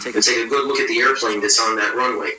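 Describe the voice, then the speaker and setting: A man speaking in an interview clip who talks in a fast, heated way and often restarts his sentences. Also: medium pitch, fairly even intonation, bright, forceful tone, slight background noise. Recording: roomy distant clip with music.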